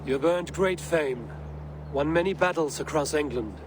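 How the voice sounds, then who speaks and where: An actor playing a bold young Viking warrior who speaks in a steady, plain, proud way. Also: male voice, on the high side, unhurried, background noise, natural voice. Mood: earnest.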